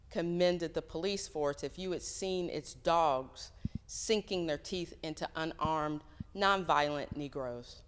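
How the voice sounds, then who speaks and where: A woman giving a solemn reading of written words, measured and deliberate, phrase by phrase. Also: sits low. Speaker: church member reading aloud. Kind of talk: solemn reading of quotes and a letter. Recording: long echoing reverb.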